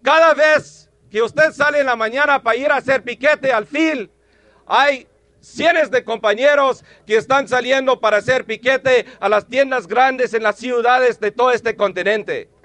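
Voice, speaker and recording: A man, delivering a rousing union rally speech and shouting slogans to a crowd. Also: extremely high pitch, measured pace, dry recording.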